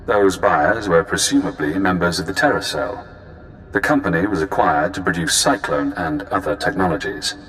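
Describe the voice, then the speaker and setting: A deep, composed intelligence handler from a video game. He is analytical, polite and measured, heard with heavy echo over a faint rumbling noise. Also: a bit bright.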